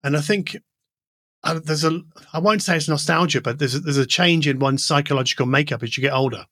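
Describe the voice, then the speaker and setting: A man's voice: on the high side, a little fast, bright, forceful tone, no echo. Interview host, male, middle-aged. Reflective, curious delivery, mid-range voice, tight dry sound. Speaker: podcast host interviewing an audio engineer. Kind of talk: conversational technical interview.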